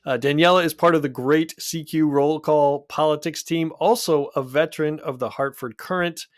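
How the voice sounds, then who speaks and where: A man from the Pacific Northwest hosting a political podcast, talking in a measured, analytical way with frequent restarts. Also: on the high side, steady tone, no echo, clean studio sound.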